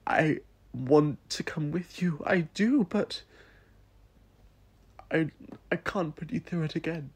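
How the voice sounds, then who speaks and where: A tender young woman full of grief, acted out by a man, speaks in a raised, husky voice. The delivery is halting and tearful, resigned, as in an audio drama. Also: fairly high pitch, darkish tone, pitched above the performer's own voice, exaggerated voice. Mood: tearful, pleading.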